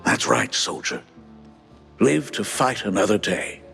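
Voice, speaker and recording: A man voicing an earnest, idealistic mouse in a cartoon game, an old war buddy with a heavy, halting stutter and a warm-hearted manner. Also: fairly low pitch, wildly swooping pitch, gravelly, soft background music, exaggerated voice. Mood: encouraging.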